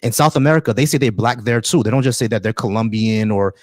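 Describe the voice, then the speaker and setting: A man's voice: lowish voice, fast talker, dry recording, clean studio sound. A man hosting a call-in debate show, pressing his callers and often cutting in with "hold on, bro.". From Haitian family background. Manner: interrupting, repeats words, says "hold on, bro".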